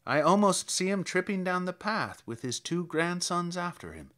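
A man voicing an old, reflective country priest in a dramatic verse reading, telling tales in a measured, plainspoken way. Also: high-pitched, big pitch swings, fairly bright tone. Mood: fond, mournful.

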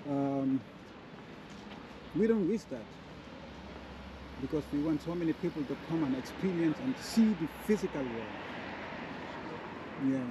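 A man with hosting a casual walking vlog, speaking in halting, repetitive phrases. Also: on the high side, expressive. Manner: repetitive, halting phrases with non-standard grammar. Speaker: vlogger and walking-tour host. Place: outdoors on a city street. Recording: outdoor street noise.

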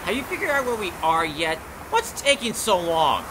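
A man's voice: high voice, huge pitch swings, moderate pace. A man voicing a greedy, pompous, hot-tempered little cartoon creature in a melodramatic, indignant amateur character demo. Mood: irritated scheming. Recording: clear background wind and noise.

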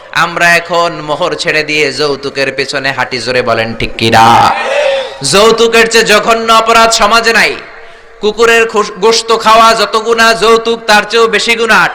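A man delivers a fervent Islamic sermon in a high-pitched, extremely bright and forceful voice. He tells devotional stories in an emphatic, declamatory style, built around prompting his listeners to answer. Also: slight background noise. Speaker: Islamic preacher. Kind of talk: fervent sermon storytelling with call-and-response to a crowd.

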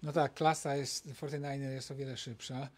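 A man in his sixties, a sailing coach, answering at a press conference in a measured, matter-of-fact way into a handheld mic. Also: medium pitch, flat delivery. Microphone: handheld microphone.

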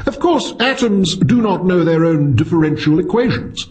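A man's voice: high-pitched, expressive, normal speed, darkish tone, voice pushed up. A man voicing an intense, reedy philosophy professor who lectures with smug energy, in an audiobook story. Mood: earnest lecturing.

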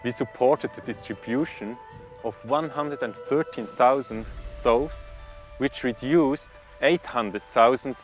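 Man in his 30s, project manager, calm factual interview delivery, soft music bed. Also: medium pitch, slow. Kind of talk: documentary interview.

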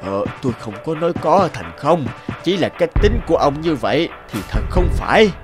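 A man voicing a weary, honest old farmer in an animated folktale. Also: a little high, fairly quick, over music. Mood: weary, dismayed. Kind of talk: Vietnamese animated folktale dialogue.

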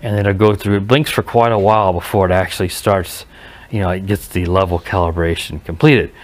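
A man giving a casual, unscripted hands-on review outdoors, speaking haltingly and often correcting himself. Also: deep voice, fairly even intonation, a little hiss or hum. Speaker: product reviewer. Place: outdoors in a residential driveway. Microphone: head-mounted action camera.

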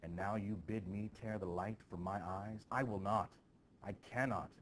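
A man's voice: lowish voice, fairly even intonation, normal speed, a little hiss or hum, natural voice. A man voicing a lovesick, defiant young woman from a stage play, pleading dramatically.

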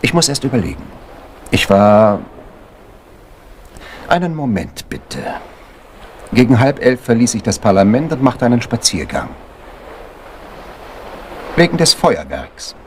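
An actor in a German crime radio play playing a self-important member of parliament, pompous and easily affronted. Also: male voice, mid-range voice, big pitch swings, unhurried, long pauses, darkish tone, a little hiss or hum. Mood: guarded, hesitant. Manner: pompous, indignant, hesitant.

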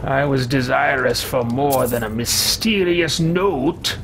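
Man putting on a pompous, slow-talking haughty sorcerer king. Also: mid-range voice, background noise. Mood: haughty, pleased. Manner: pompous, theatrical, archaic wording, slow and deliberate. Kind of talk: in-character roleplay video game let's play. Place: small home room with shelves, indoors.

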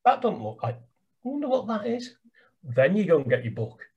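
An older Englishman talks over a laptop video call from a small room at home, his voice husky and a touch high. He speaks with eager energy, gesturing and doubling words as he goes. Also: very animated, fairly quick, lo-fi sound. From England. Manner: enthusiastic, gesturing, repeats words. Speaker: birdwatching guide. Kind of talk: casual video call chat. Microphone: webcam on a video call.

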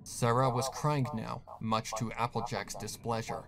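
Male narrator for scripted horror fiction, medium pitch, clear crowd noise in the background. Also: steady tone, a little slow.

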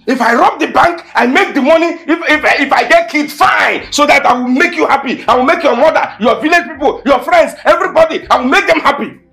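List: man playing young boyfriend, broke, defensive, quick temper, gravelly voice, music underneath. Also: high voice, exaggerated voice. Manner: pleading then shouting in bursts. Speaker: actor. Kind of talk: Nigerian film drama acting.

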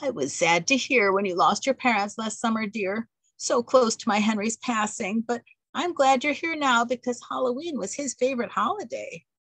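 A woman voicing a frail but upright elderly great-aunt who speaks in a prim, formal, measured way. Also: fairly high pitch, animated, a bit bright, dry recording, pitched above the performer's own voice. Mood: wistful. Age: older.

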